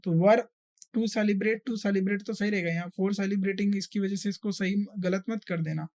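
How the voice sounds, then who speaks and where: Female teacher, Indian accent, mid pitch, explains patiently point by point with repetition and quick check questions. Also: fairly even intonation, a little mellow. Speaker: exam-prep English grammar teacher. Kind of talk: explanatory lesson delivery, mixing Hindi and English.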